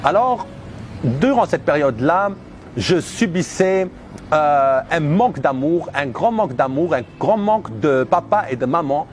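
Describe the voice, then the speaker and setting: A man in his thirties from Algeria gives a personal religious testimony, speaking earnestly and emphatically in deliberate, repeated phrases. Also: on the high side, lively intonation, slight background noise. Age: 30s.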